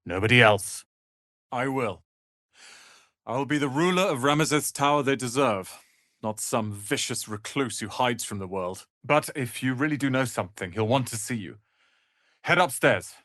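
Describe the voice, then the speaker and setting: An actor playing a proud, bitter young tiefling wizard in a video game, speaking in a haughty, theatrical, sardonic way. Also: male voice, medium pitch, animated, normal speed, a bit bright, dry recording, clean studio sound, close to an everyday voice. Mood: grudging, sardonic. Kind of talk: video game character acting.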